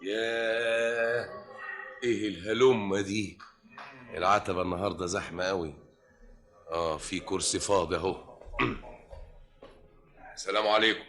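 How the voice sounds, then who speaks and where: This is a man performing a fussy Egyptian government clerk from an old radio comedy. His voice is fairly low yet bright and forceful. He is over-polite and nosy, repeating himself with comic precision. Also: fairly even intonation, normal speed, pauses often, close to an everyday voice. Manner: effusive, repetitive, comically precise.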